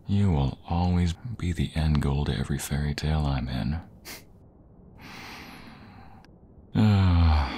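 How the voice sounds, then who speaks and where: A man's voice: extremely low pitch, darkish tone, heavily acted. A man acting an adorkable, gushing nerdy jock boyfriend in an intimate audio roleplay, affectionate and teasing. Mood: tender, adoring. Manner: affectionate, teasing, breathy pauses and chuckles.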